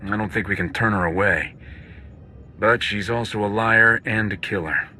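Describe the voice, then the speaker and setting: A man's voice: lowish voice, moderate pace, bright, forceful tone, a little hiss or hum. A man playing a resolute, pragmatic rebel commander in a video game, firm and matter-of-fact. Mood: businesslike. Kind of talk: video game cutscene acting.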